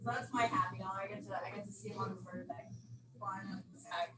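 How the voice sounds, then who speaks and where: Brief: young woman, loud and emotional, off-mic in an echoey room full of people. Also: mid-range voice, fairly even intonation, fast, recorded from a distance, noisy background. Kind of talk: casual sharing at a meeting. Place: club meeting room.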